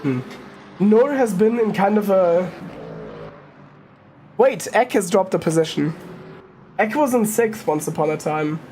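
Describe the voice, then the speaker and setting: A woman's voice: mid-range voice, animated, unhurried, pauses often, mellow. Woman commentating, engine noise behind. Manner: informal, thinking aloud, hesitant self-correcting. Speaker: sim racing commentator. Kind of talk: casual live race commentary.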